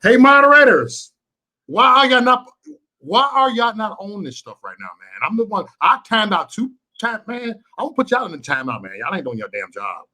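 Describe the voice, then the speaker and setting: A man's voice: sits high, sing-song, normal speed, pushed and bright. A man hosting a livestream who reacts to the footage and scolds his moderators in brash, profane language. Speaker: livestream host. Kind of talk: live reaction commentary.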